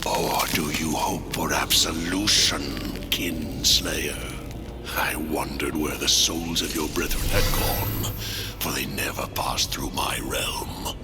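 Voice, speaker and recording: A man's voice: a bass voice, steady tone, takes it slowly. A man playing an imperious, weary king of the dead in a video game, formal and menacing. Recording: loud music under the voice.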